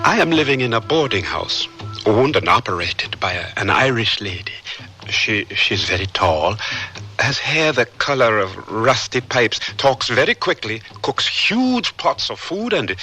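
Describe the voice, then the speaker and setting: An actor playing a polite, earnest young African newcomer in a radio drama, speaking in a hesitant, measured way. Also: male voice, mid-range voice, sharp tone, poor audio. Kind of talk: radio drama letter narration. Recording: music behind the voice.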